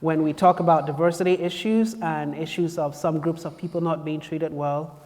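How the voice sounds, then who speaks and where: A man's voice: on the high side, steady tone, moderate pace, a little mellow. Chatty man in a hall. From Cameroon. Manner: chatty and playful, often laughing. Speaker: guest speaker. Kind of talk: storytelling talk to an audience. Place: campus lecture hall.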